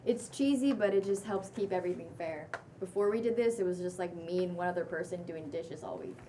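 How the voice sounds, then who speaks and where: A woman's voice: lowish voice, a little fast, dark tone, recorded from a distance, slight background noise, natural voice. A woman at a table read playing a beaming, friendly, upbeat housemate who is a social media shaman. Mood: welcoming. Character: beaming, welcoming nonbinary TikTok shaman housemate. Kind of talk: table read acting of a pilot script.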